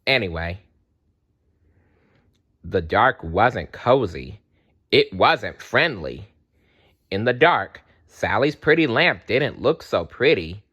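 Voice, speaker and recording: Animated, lower-voiced man reading children's stories. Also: takes it slowly, pauses often. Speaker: read-aloud storyteller. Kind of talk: expressive children's story narration.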